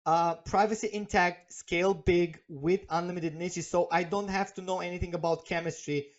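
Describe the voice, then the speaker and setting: A man's voice: sits high, steady tone, normal speed. Chatty non-native English-speaking man, lo-fi stream. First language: not English. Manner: chatty and persuasive, reads names from chat, many fillers and tag questions. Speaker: webinar host selling software. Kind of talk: live webinar sales pitch.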